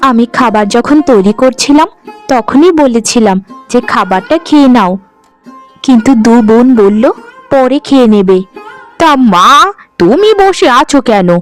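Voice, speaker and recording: A dutiful young bride in a fairy-tale cartoon, acted by a woman. She speaks in a high, soft-mannered voice, meek and pleading. Light music runs underneath. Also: animated, unhurried. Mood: polite, caring.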